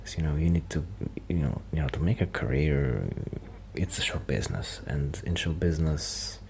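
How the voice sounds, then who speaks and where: Male performer as quiet, bashful musician friend for ASMR roleplay: hushed, hesitant, very deep with vocal fry. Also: moderate pace, a little hiss or hum. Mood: modest, resigned. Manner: hesitant, rambling, self-interrupting. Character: shy, quiet young male musician friend who rambles about music. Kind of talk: soft-spoken ASMR roleplay with whispering.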